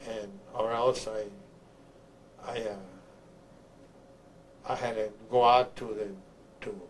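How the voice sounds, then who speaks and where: An older man from Honduras, an army veteran, recounts his memories haltingly, repeating words as he searches for the next one. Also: fairly low pitch, level tone, poor audio. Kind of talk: oral history recollection. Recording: clear background noise, room ambience.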